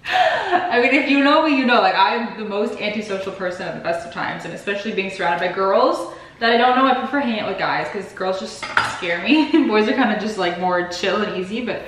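A woman's voice: mid-range voice, lively intonation, fairly quick, a bit bright, slight background noise. A woman in her twenties, a bit sick and sniffly, chatting casually and rambling to the camera in her home kitchen. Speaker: vlogger. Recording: echoey kitchen.

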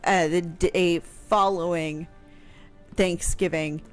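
An American woman in her thirties discussing history conversationally on radio into a close microphone, hesitant and pausing mid-thought. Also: fairly low pitch, fairly even intonation, soft background music. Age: 30s. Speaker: radio history show guest expert. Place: small home room, indoors. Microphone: close boom-arm studio microphone with headset.

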